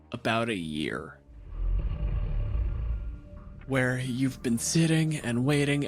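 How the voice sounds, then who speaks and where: A man co-hosting a podcast as game master, speaking in an informal, casual storytelling way. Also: mid-range voice. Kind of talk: casual narration and podcast promotion.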